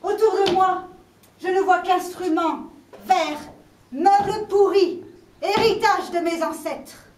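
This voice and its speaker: A woman's voice: very high voice, slow, a little mellow. A woman performing a theatrical monologue as a despairing, world-weary scholar, solemn and declamatory. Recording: echoey room, distant mic, faint noise.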